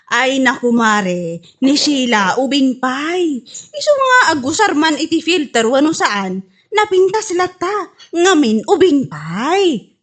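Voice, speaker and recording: A woman's voice: high-pitched, big pitch swings, takes it slowly, fairly bright tone, heavily acted. A woman playing a teasing, exasperated gossipy friend in an Ilocano comedy radio drama, chiding and exclaiming with a Filipino Ilocano accent.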